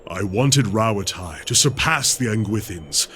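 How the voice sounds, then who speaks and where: A man's voice: fairly low pitch, animated, unhurried, a bit bright, slight background noise. An actor playing an earnest, idealistic male adventurer hungry for knowledge in a video game, speaking expressively. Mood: earnest, pleading. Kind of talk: dramatic video game dialogue.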